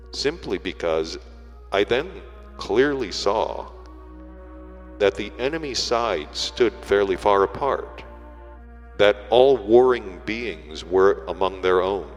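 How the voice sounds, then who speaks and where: A man reading a literary story aloud as an audiobook narrator, in a measured, formal storytelling delivery. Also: low voice. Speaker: audiobook reader. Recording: echoey hall reverb, music behind.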